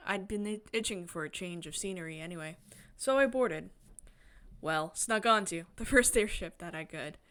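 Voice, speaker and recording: A woman game streamer expressively reading a visual novel aloud, breaking into excited, chatty asides. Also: fairly low pitch, moderate pace. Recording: music behind the voice. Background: game music.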